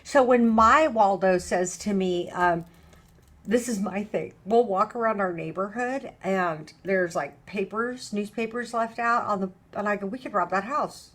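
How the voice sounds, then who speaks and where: A woman's voice: medium pitch, unhurried, fairly bright tone. A middle-aged woman hosting a casual conversation as a recovery counselor, talking emphatically and acting out little dialogues. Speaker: show host, recovery counselor.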